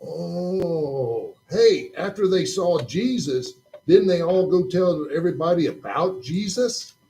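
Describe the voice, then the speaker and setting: A man's voice: high voice, lively intonation, takes it slowly, dark tone, a little hiss or hum, heavily acted, low-quality recording. Man doing a wide-eyed boy puppet. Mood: curious. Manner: questioning, jokey and full of wonder. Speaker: puppet in a church children's show. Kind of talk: children's puppet show dialogue. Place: church.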